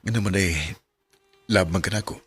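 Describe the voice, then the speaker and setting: An actor with a Bisaya accent from the Philippines, playing a jealous, clingy young boyfriend in a radio drama. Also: male voice, sits low, moderate pace, breathy edge. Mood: tender, coaxing. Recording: very noisy, clicky radio drama audio.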